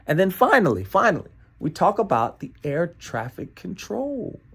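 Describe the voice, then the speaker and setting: A man's voice: high voice, sing-song, normal speed, gravelly, fairly bright tone, slightly rough. An American man presenting a motivational training talk in an emphatic, playful way, repeating phrases and often checking in with "right?". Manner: playful asides and chuckles.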